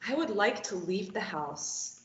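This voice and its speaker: A woman's voice: mid-range voice, expressive, a little slow, a little hiss or hum, lightly acted. A woman reading a sardonic, probing young captive at a play table read, teasing and wry. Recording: echoey room, muffled lo-fi.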